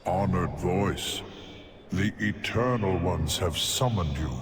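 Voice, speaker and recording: An actor playing a deferential, solemn attendant in a fantasy video game, formal and reverent. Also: male voice, low voice, slow, over music. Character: deferential, solemn male attendant bearing a summons. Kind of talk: video game cinematic acting.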